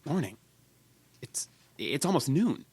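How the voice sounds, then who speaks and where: A man playing an agitated, halting newspaper office worker in an old-time radio drama. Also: on the high side, husky, dry recording, full character voice. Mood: uneasy. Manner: halting, excitable.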